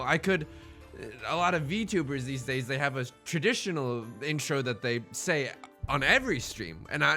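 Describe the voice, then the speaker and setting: A man with a bright, forceful voice gives casual game commentary as a host. His pitch swings widely as he rambles, repeats words and drops in joking asides, with faint music behind him. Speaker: host of a gaming Let's Play series.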